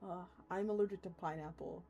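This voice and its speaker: A woman's voice: on the low side, fairly quick, gravelly, lo-fi sound, soft background music. A woman streamer talking casually to her live audience, informal and openly reactive as she chats and reads aloud. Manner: informal, animated reactions, addresses viewers. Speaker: streamer hosting a live read-along. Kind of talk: casual livestream chat, reacting and reading aloud.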